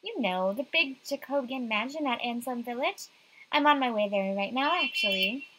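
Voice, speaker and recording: A woman's voice: fairly high pitch, animated, normal speed, noisy background, pitched above the performer's own voice, close to an everyday voice. A woman voicing a spirited, easily riled young woman in a visual novel. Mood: upbeat.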